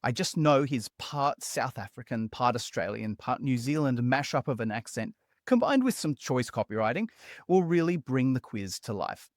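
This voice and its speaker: A man hosting a software tutorial as a scripted voice-over, explaining steps in an upbeat, conversational way. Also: mid-range voice, expressive, normal speed, no room sound, clean studio sound. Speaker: tutorial host.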